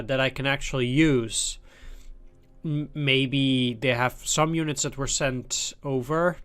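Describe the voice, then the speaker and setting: Male let's-play host with a cold, mid pitch, relaxed think-aloud delivery, faint music underneath. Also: smooth. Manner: loose, thinking aloud as he plays. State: has a cold. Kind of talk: casual gameplay commentary.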